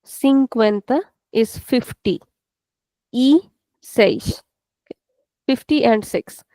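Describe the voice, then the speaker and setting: A woman's voice: a little high, very slow, warm tone, no echo. A woman with an Indian English accent teaching an online language class, explaining patiently and repeating each point step by step.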